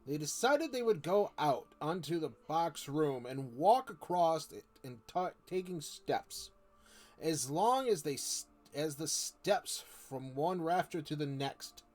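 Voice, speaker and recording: A man reading a children's novel aloud informally, halting and stumbling over words, with casual asides. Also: fairly high pitch, lively intonation, darkish tone. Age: adult, not a child. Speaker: story streamer reading aloud.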